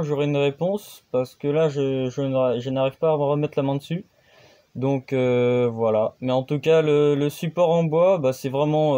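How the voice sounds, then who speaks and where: A man's voice: a little high, warm tone, lo-fi sound. A man, a radio hobbyist host, chatting casually on the air and hesitating often with fillers. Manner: hesitant, many fillers and restarts.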